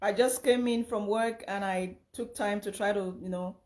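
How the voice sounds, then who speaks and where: A young woman from Cameroon with a slightly hoarse voice, hosting a casual livestream and greeting viewers by name, often repeating herself. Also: mid-range voice, steady tone, normal speed. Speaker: live chat host.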